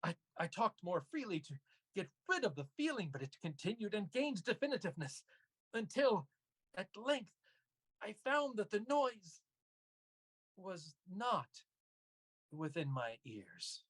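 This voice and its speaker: A man performing an unhinged, nervous murderer's confession as a theatrical gothic horror monologue, intense and halting, with a husky voice. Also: high voice, big pitch swings, a bit bright, dry recording, voice pushed up, full character voice. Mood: mounting unease. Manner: intense, halting, melodramatic delivery with sudden swells. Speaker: stage actor performing a monologue. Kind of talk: theatrical dramatic reading of gothic horror tale.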